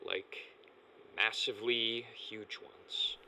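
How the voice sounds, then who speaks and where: A man's voice: on the low side, steady tone, slow, a crowd in the background, rough recording. A male actor playing a lost, anxious boy in a family audio drama, earnest and questioning. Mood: brooding. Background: prominent music.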